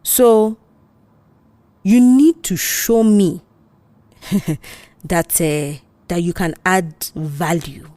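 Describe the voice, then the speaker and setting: Woman acting as male boss, Nigerian accent, smug, coercive, condescending, unhurried, dry studio sound. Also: fairly low pitch, mellow, no echo. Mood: smug, menacing. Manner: taunting, condescending, chuckling.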